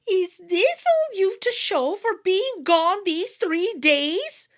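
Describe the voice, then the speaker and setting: A woman voicing a scolding farmer's wife with a raised, sharp voice. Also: very high voice, very animated, slow pace, pitched above the performer's own voice, exaggerated voice.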